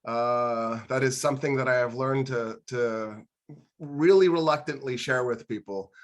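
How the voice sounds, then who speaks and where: A man's voice: mid-range voice, unhurried. A man, a government professor, introducing himself on a video call panel in a wry, self-deprecating way.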